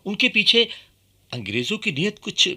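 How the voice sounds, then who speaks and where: Earnest Indian patriot, very sharp forceful voice, swooping emphatic delivery, radio play. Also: male voice, sits high, sing-song, moderate pace, husky, exaggerated voice. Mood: earnest, indignant. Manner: emphatic, theatrical. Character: indignant, earnest Indian patriot under colonial rule. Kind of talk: radio drama acting.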